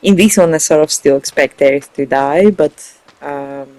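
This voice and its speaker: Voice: medium pitch, normal speed, dark tone, dry recording, rough recording. A gaming video host giving casual, rambling, informal commentary on a playthrough, thinking aloud.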